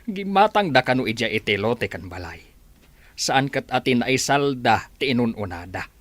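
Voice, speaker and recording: A man's voice: mid-range voice, sing-song, normal speed, a bit bright, no room sound. A man playing an exasperated old village father in an Ilocano radio comedy drama, grumbling and exclaiming with a Filipino accent. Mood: grumbling, impatient. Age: older. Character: exasperated old village father fretting over his mortgaged farm.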